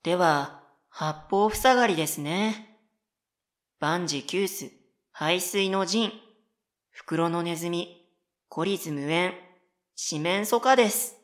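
A woman plays a low-voiced middle-school girl in a comedic radio drama. She is polite yet whimsical and stubborn, delivering everything at an unhurried, deadpan pace. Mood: earnestly deadpan. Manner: polite speech, deadpan, self-paced.

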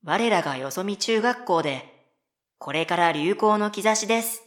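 Slow, deadpan schoolgirl voiced by a woman. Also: mid-range voice, natural voice. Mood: earnestly deadpan. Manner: polite speech, deadpan, self-paced. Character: whimsical, stubborn, polite middle-school girl, telepath. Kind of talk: comedic radio drama dialogue.

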